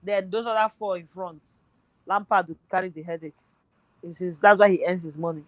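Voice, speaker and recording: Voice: medium pitch, steady tone, a little fast, no room sound, highs cut off. A speaker talking football on a casual podcast, thinking aloud with lots of fillers, voice nearly worn out. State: voice tiring, nearly gone.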